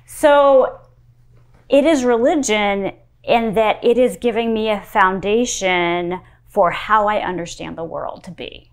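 A woman in her forties, a pastor, answering interview questions in a thoughtful, measured way, recorded with a lapel mic in a wood-panelled study. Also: medium pitch, clean tone. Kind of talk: sit-down interview.

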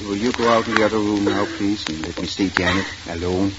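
Man playing a low-voiced, kindly firm doctor. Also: fairly even intonation, fairly quick, close to an everyday voice. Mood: firm, probing. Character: kindly but firm country family doctor. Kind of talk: 1940s radio drama acting. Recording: noisy, muffled 1940s radio recording.

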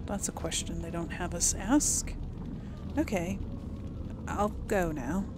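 A woman's voice: fairly low pitch, slow pace. A woman hosting a casual role-play game series, chatty and giggly as she muses aloud, with game music behind her. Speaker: let's play host.

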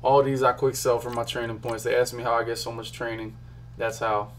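A young man from Philadelphia rattles off card pulls in a loose, hyped stream commentary at a mid-range pitch. He talks straight to his chat from a small home room on a desk mic. A faint hum sits under his voice. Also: flat delivery, fairly quick. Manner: chatty, hyped, talks to chat while clicking. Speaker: gaming streamer. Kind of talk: casual pack-opening commentary.

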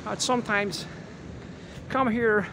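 A man in his thirties guiding a casual walking tour on a quiet residential street, talking with many fillers and restarts into a handheld camera mic. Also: high-pitched, steady tone, takes it slowly. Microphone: handheld camera mic while walking. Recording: clear outdoor street noise.

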